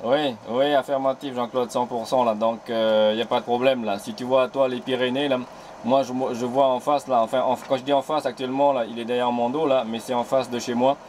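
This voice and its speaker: Relaxed New Caledonian radio operator. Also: male voice, mid-range voice, fairly quick, a little hiss or hum. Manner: relaxed, fills talk with "là". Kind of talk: CB radio contact.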